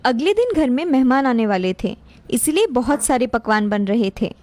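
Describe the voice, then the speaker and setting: A woman's voice: on the high side, animated, moderate pace, a little mellow, no room sound. A woman narrator reading a scripted cartoon family story.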